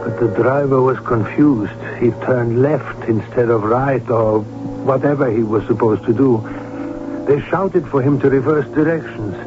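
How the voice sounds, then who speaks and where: A man's voice: mid-range voice, a little slow, close to an everyday voice. An actor playing an outspoken, agitated royal physician who tells his story with urgency and emphasis in a 1970s radio drama. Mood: grave recounting. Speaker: doctor character who also narrates. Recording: tinny narrowband old radio recording, music behind.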